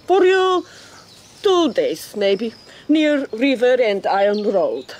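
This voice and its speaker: A woman's voice: fairly high pitch, big pitch swings, slow pace, darkish tone, slight background noise. An actress playing a brash but good-hearted female seabird who speaks in clipped, broken phrases in a radio drama. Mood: reporting, weary. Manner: clipped pidgin phrasing with foreign-sounding grammar.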